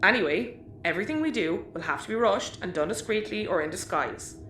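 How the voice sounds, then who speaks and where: A woman's voice: mid-range voice, expressive, a little fast, fairly bright tone, natural voice. A woman playing a sensible, dry-humoured spaceship crewmate, matter-of-fact and a little bossy, in a sci-fi audio comedy. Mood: exasperated, practical. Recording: echoey room, faint background noise.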